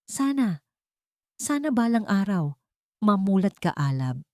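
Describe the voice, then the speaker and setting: A woman's voice: mid-range voice, big pitch swings, unhurried, darkish tone, no room sound, studio quality, voice pushed up. A woman voicing an older nanny who pleads desperately, crying, her voice breaking. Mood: sorrowful.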